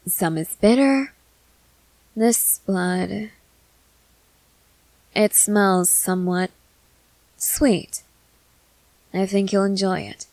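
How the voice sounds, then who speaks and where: A woman's voice: mid-range voice, gravelly, darkish tone, no room sound, poor audio. A woman playing a confident, experienced vampire who coaxes and reassures in a matter-of-fact way, in an ASMR roleplay. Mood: coaxing, savoring. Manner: matter-of-fact, coaxing, assured.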